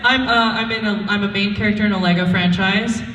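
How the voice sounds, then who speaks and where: Lady on a con panel telling dirty self-mocking stories, swears a lot, high-ish for a woman but reads lower in a roomy hall. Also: fairly even intonation, fairly quick, crowd noise. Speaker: voice actor, convention panelist. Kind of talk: casual comic anecdotes on a live panel. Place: convention panel room. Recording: echoey hall, distant mic, background noise.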